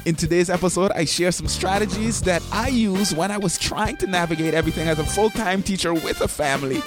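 Hoarse upbeat male podcast host. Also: high-pitched, a little fast, music in the background. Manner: upbeat, chatty, jokes at himself. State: hoarse, deeper than usual from a throat problem. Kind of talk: casual podcast talk.

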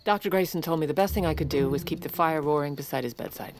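A woman's voice: sits low, fast talker, a little mellow, faint music behind. An actress playing a wry, exasperated First Lady who confides her story in comedic audio fiction with a dry manner. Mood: dry, confiding. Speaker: narrator and lead character.

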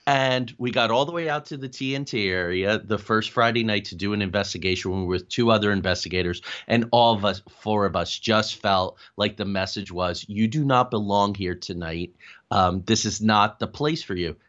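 Low-voiced man, dull lo-fi sound. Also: expressive, normal speed, fairly bright tone. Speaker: interview guest, paranormal investigator and author. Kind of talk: conversational interview answers. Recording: dull lo-fi call audio.

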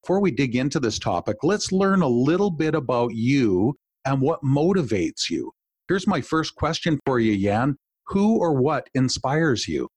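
A man's voice: mid-range voice, very animated, a little slow, breathy edge, no echo. Man hosting a podcast interview, dry. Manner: enthusiastic, warm-worded, long wind-up questions. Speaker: podcast host and executive coach.

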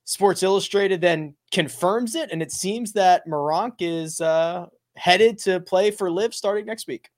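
A man hosting a casual sports podcast, animated and jokey. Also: high voice, unhurried, hardly any pauses. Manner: animated, jokey, some restarts. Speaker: podcast host.

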